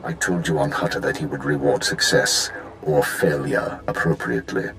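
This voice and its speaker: An actor playing a stern intelligence chief in a video game, giving orders in a formal, authoritative way. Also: male voice, rumbling low, normal speed, gravelly. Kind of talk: dramatic video game acting.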